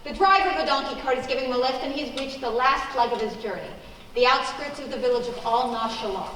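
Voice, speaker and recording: A woman's voice: high-pitched, fast talker, a bit bright, a little hiss or hum. A woman announcer reading scripted narration for a radio play. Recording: echoey hall, far from mic.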